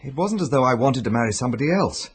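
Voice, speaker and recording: A man's voice: mid-range voice, animated, fast, a little hiss or hum, lightly acted. An actor playing an uneasy, reserved British husband in a radio drama, guarded and hesitant in his speech. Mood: guarded, aggrieved.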